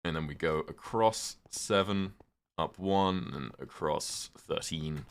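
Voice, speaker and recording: A young man with a British accent and a notably deep voice talks loosely to his live chat as he plays. He drifts between topics, filling pauses with "um" and "like". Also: smooth. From Britain. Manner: rambling, chatty, lots of "um" and "like". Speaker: streamer. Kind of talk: casual live-stream chat while gaming.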